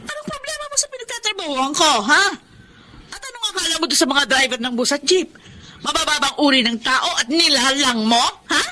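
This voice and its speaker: A woman's voice: high-pitched, brisk, slight background noise. An actress playing a hardworking, hurt single mother, speaking expressively in a Filipino accent in an emotional drama. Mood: offended, angry. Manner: emotional, expressive, plain-spoken. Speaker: actor in a drama. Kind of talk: emotional Filipino radio-style drama acting.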